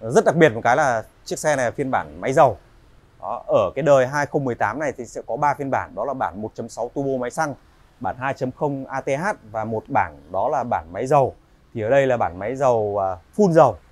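A man in his thirties from Vietnam giving a polite, chatty walk-around sales pitch in a large indoor car showroom, with frequent filler pauses. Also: animated, darkish tone, no room sound.

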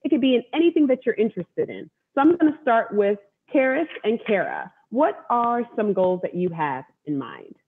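A woman's voice: on the high side, big pitch swings, moderate pace, hardly any pauses, slight background noise. A woman in her thirties hosting a children's story time over a video call, with an encouraging, teacherly way of talking. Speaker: author and event host. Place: room at home, indoors. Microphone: video call, wireless earbud. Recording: dull video-call audio.

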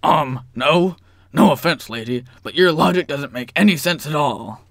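A man's voice: fairly high pitch, animated, moderate pace, dry recording, clean studio sound. A man voicing a blunt, scornful prince sock puppet, declamatory and dismissive.

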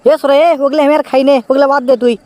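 A man's voice: very high voice, level tone, racing, a little mellow, slight background noise. A man voicing a cheeky, evasive young newlywed husband with a Bogura Bangladeshi accent, whining out excuses in a comic cartoon. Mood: exasperated.

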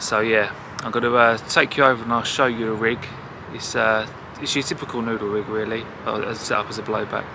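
A man talking casually about fishing in a relaxed, chatty way, outdoors by a lake. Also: mid-range voice, vocal fry. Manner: relaxed, chatty, with fillers and laughs. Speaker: angler vlogger. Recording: noisy outdoor background.

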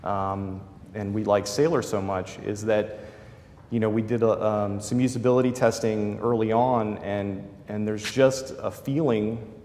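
A man in his thirties with a boomy voice, explaining his work as a panelist at a conference, with many fillers. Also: fairly even intonation, unhurried, darkish tone. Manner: gestures broadly while explaining, many fillers. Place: large conference hall. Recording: hall reverb, faint room noise.

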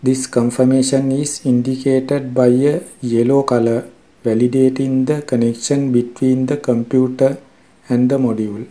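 A man narrating a scripted software tutorial in a formal, measured, step-by-step way. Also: mid-range voice, little pitch movement, low-quality recording. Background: background music.

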